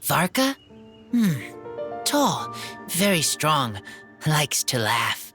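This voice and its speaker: A young man voicing a shy, earnest wolf-raised teenage boy who speaks in halting, broken phrases. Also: on the high side, huge pitch swings, sharp tone, faint music behind, exaggerated voice. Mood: pensive. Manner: halting broken phrases, short simple words. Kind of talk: game cutscene voice acting.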